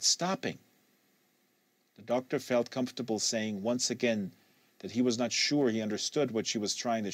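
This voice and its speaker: A man reading a literary novel aloud in a measured, deliberate narration. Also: medium pitch, no room sound. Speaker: reader.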